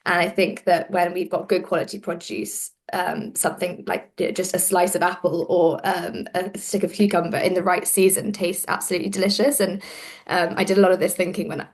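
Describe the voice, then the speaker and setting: Woman, lowish voice, thoughtful, repeats words, panel guest. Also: flat delivery, fairly quick. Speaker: food brand founder on a panel. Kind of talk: casual panel discussion. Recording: webinar over video call.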